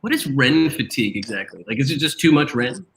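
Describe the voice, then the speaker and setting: Animated guy hosting a drinking show, bright high voice, echoey room. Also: fairly quick, vocal fry. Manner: animated, joking, laughs often. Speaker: host. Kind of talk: casual online show banter.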